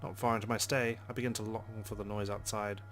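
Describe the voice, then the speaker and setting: A man with a fairly low voice hosts a let's play, reading a visual novel's narration aloud. His delivery is dramatic, with brief casual asides. Game music plays behind him. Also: little pitch movement, fast talker, fairly bright tone. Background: game background music.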